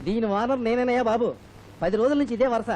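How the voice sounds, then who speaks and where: Exasperated buffalo owner, high and extremely quick, comic film. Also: male voice, steady tone, a little mellow. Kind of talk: Telugu film comedy acting.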